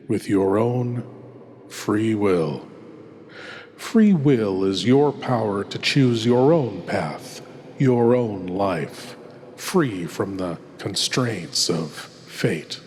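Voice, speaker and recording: Guy doing a creepy courteous horror radio host, super slow n theatrical, big long reverb. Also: medium pitch, a little mellow. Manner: slow, theatrical, deliberate pauses. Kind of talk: scripted old-time radio horror hosting.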